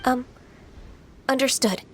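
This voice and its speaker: A woman voicing a gentle, earnest young immortal in training in an anime video game, polite and hesitant. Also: slow, background noise, lightly acted. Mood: dutiful. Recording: music playing behind the voice.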